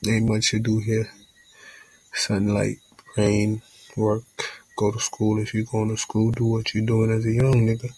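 A man's voice: on the low side, monotone, measured pace, long pauses, a little mellow, slightly rough, low-quality recording. A young man from Jamaica hosting a casual solo podcast intro in a laid-back, rambling way, often saying "you know what I'm saying". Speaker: podcast host.

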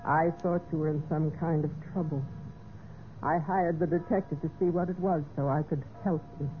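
An actress playing a betrayed, wistful but resolute wife in a measured, theatrical golden-age radio mystery style. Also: female voice, sits low, fairly even intonation, close to an everyday voice. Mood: cool, matter-of-fact. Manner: measured, deliberate, theatrical delivery. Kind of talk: 1940s-50s radio mystery acting. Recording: tinny, noisy old radio recording.

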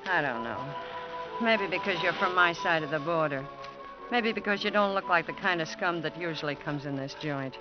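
A tough American bar girl, talks low and slangy, sly and world-weary. It is a mid-century radio drama, dull in sound, with music playing behind her. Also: lively intonation, normal speed. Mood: cool, confiding. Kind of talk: 1950s radio drama acting.

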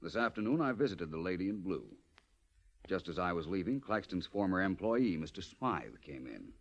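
An actor playing an urbane, self-assured gentleman detective in a 1940s radio mystery, polished and wry. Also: sits low, big pitch swings, normal speed, lo-fi sound, full character voice.

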